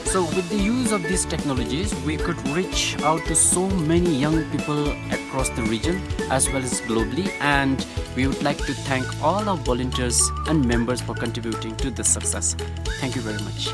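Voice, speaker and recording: Presenter, medium pitch, scripted delivery, prominent music bed. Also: normal speed. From Bhutan. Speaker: youth organisation representative.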